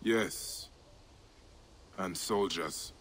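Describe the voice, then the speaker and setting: An actor playing a grave, protective Egyptian warrior in a video game, solemn and determined, bent on revenge. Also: male voice, low voice, extremely slow. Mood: gentle. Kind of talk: dramatic video game acting. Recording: music under the voice.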